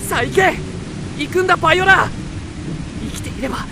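An actor playing a devoted, commanding young nobleman in an impassioned radio drama, urgently protecting his sister. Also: very high voice. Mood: stern, desperate. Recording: loud rushing storm noise. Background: roaring sea storm effects.

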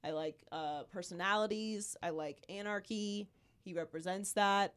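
A woman hosts a sports talk show in a hoarse, worn voice, as she has been sick for weeks. She talks with animated, emphatic bursts, her voice sitting at an ordinary middle pitch. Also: measured pace. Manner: animated, emphatic, riffs with rising exclamations. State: hoarse, losing her voice from illness. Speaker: podcast host.

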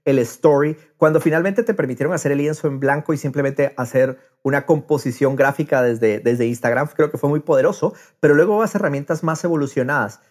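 A man's voice: on the high side, brisk, hardly any pauses, darkish tone. A man in a casual podcast conversation, speaking with enthusiasm and repeating words as he thinks aloud. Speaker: podcast host.

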